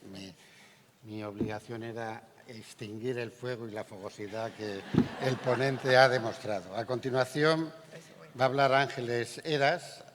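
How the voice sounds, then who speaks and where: Man from Spain, mid-pitched voice, measured moderator, reverb of a big hall. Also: fairly bright tone. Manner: measured, wry, with some hesitations. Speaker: panel moderator. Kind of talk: formal moderating and introductions. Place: large theater stage. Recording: long hall reverb from a theater.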